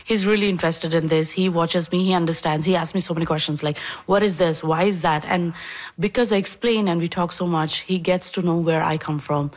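A woman from Bangladesh in her thirties, interviewed at home about her dessert business, telling stories with animated quoted dialogue. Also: on the low side, fairly quick. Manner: animated storytelling, acts out quoted people. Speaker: dessert business owner, guest. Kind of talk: casual interview.

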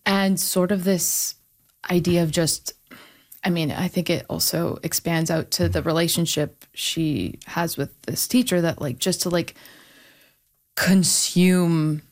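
A woman with a voice a little on the low side talks casually in a book discussion. She sounds enthusiastic and works out her ideas as she goes. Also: fairly even intonation, measured pace. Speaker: book club member on a discussion panel.

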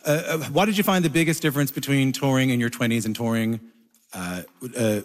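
A man interviewing conversationally, halting and stammering as he works toward each question. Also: medium pitch. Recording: reverberant hall, some crowd sound.

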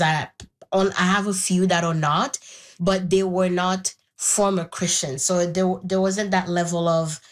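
Interview guest: Haitian-born woman in her twenties, Haitian Creole native, lowish voice, reflective and halting delivery. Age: 20s. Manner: thoughtful, pausing, with restarts. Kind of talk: reflective personal conversation.

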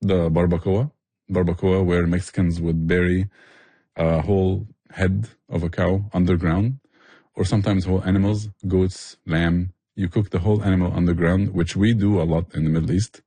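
A man's voice: low-pitched, little pitch movement, moderate pace, mellow, slightly rough, dry recording. A man explaining things with passion in a conversational interview. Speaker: barbecue restaurant owner and chef, interview guest.

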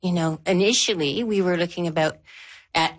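Slow, measured woman from Newfoundland. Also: on the low side. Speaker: archaeologist. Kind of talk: interview talk.

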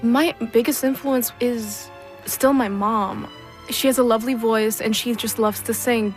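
Woman singer, english, excited and fond, soft music under her. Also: a little high, a little slow. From South Korea. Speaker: opera competition finalist. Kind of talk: recorded radio interview clip.

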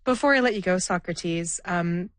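A woman's voice: on the low side, normal speed, no room sound. A woman asking questions as an interviewer in a broadcast conversation.

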